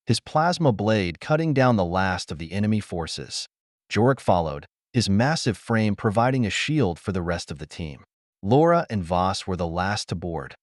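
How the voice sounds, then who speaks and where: A man's voice: on the low side, no room sound, studio quality. A man reading a science fiction adventure story as an audiobook narrator, in a dramatic, earnest manner.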